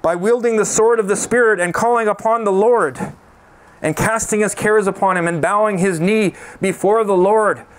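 A man's voice: high-pitched, normal speed, clear, resonant tone. A man in his forties, a pastor, preaching an earnest sermon into a handheld microphone on a church stage, sometimes with small restarts. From Canada. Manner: earnest preaching, sings a chorus, gestures while speaking.

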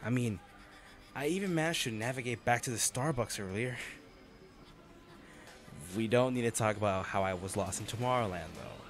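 A man playing a devoted, teasing and self-blaming boyfriend in a romantic audio roleplay, speaking haltingly and tenderly. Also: medium pitch, lightly acted. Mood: playful, chatty. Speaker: voice actor.

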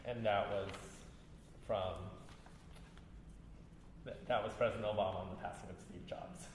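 A man with a fairly low voice reads a prepared text aloud to the room. The sound is extremely echoey, with long hall reverb and clear background noise. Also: steady tone, normal speed. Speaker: librarian reading at a public event. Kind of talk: reading prepared texts aloud. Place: large reverberant room at a library event.

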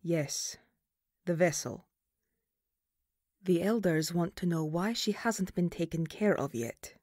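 A woman playing a stern, duty-bound banshee mother in an audio roleplay, speaking formally and gravely. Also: lowish voice, slow, a little mellow, dry recording, clean studio sound.

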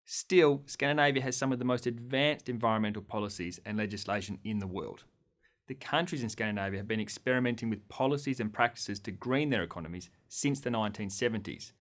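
A man in his thirties from Australia, presenting a scripted lecture to camera in a studio with an upbeat, clear delivery. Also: on the low side, a little fast. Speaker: course lecturer. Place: studio against a green screen.

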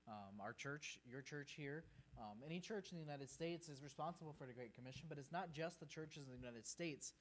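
A man's voice: a little high, brisk, few pauses. A man in his thirties from Peru, speaking earnestly as a missionary guest to a congregation from the pulpit. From grew up in Peru. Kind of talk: informal presentation to a congregation. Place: church sanctuary, at the pulpit. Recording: faint hum and background noise.

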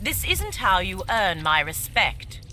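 A woman's voice: medium pitch, sing-song, slow, pushed and bright, faint music behind, heavily acted. A woman playing a righteous, stern young warrior in a fighting video game, firm and admonishing.

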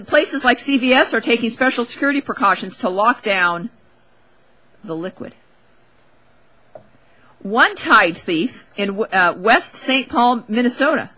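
A woman talk show host with a fairly high, bright and forceful voice. She riffs casually and with strong opinions, leaning on words for wry emphasis and talking straight to the listener. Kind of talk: opinionated casual radio monologue.

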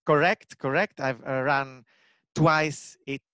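A man's voice: a little high, steady tone, slow pace, a bit bright, clear, resonant tone, no echo. A man in his forties from Rome with an Italian accent, talking earnestly on a video call through a headset, with many fillers and restarts. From Italy, Rome. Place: home room with bookshelves.

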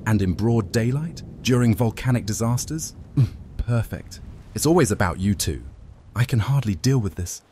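Man playing low, mellow, sarcastic vampire. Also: expressive, moderate pace, a little hiss or hum, natural voice. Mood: snide, jealous. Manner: snide, theatrical. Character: Dominic, sarcastic, cowardly, jealous male vampire thief. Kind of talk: audio drama roleplay acting.

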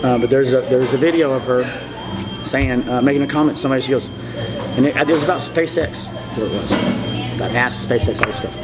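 A man's voice: mid-range voice, fast, over music. Emphatic man in his forties, rambling. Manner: emphatic, repetitive, gestures broadly while talking. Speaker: interview guest. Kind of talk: rambling monologue, telling his story. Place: indoor restaurant booth.

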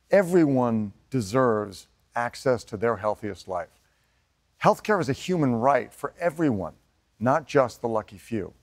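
A middle-aged man co-hosting a charity benefit, speaking earnestly and emphatically to camera from a warehouse. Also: mid-range voice. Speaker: benefit co-host. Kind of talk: scripted presenting to camera. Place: indoor warehouse.

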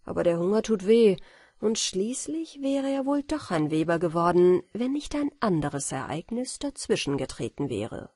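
A woman with speech reads a fairy tale as an audiobook narrator, in a soft, measured and expressive storytelling manner. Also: lowish voice, husky, no room sound, studio quality. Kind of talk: scripted fairy tale narration for bedtime listening.

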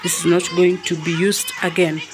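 A woman from Kenya with a Kenyan accent narrates a casual house tour in an unhurried, repetitive way, as if pointing things out. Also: lowish voice, level tone, a crowd in the background. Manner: unhurried, repeats phrases while pointing things out. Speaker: vlogger. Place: indoors, living room of a family home. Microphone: handheld phone or camera held by the speaker.

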